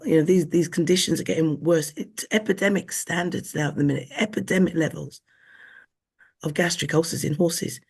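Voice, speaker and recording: A hoarse woman, an equine nutritionist being interviewed, explaining earnestly and often restarting her sentences. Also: on the low side, darkish tone. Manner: earnest, with frequent restarts and fillers.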